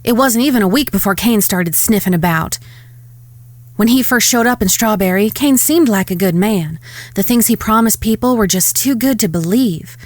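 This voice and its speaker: A woman playing a proud, sharp-tongued ranch woman in a western audio drama, composed and stern. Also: medium pitch, expressive, moderate pace, no echo, close to an everyday voice.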